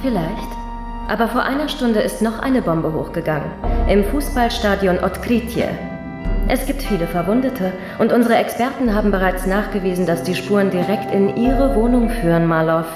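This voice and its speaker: An actress in a German radio drama playing a cold, menacing Soviet party secretary who speaks in a curt, commanding manner. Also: female voice, medium pitch, animated, normal speed, reverberant, music in the background, lightly acted. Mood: accusing. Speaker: actor in a radio play. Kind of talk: German audio drama acting.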